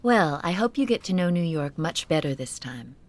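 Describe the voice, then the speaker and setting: A woman's voice: lowish voice, very animated, moderate pace. A woman reading a scripted coursebook dialogue as a businesslike magazine editor.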